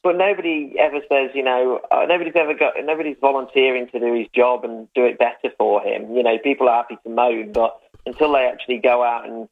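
A man from the English Midlands with a Midlands accent, calling in to a radio show and chatting in a halting way with many restarts. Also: mid-range voice, fairly even intonation. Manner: hesitant, full of restarts. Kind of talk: casual phone-in chat. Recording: tinny phone line.

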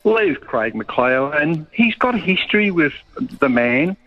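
An Australian man calling in to a sports radio show, opinionated and animated. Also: on the high side, unhurried. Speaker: caller. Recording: dull phone line.

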